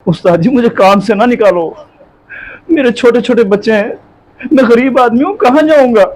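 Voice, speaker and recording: An actor playing a poor, honest tailor with a deferential, pleading way of speaking in a moral drama. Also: male voice, very high-pitched, moderate pace, a little mellow, a little hiss or hum. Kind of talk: moral story drama acting.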